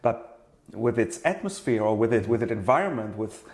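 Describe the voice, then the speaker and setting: A man being interviewed as a product designer, explaining his work in a thoughtful, halting way and often repeating words as he goes. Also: on the low side, animated, darkish tone.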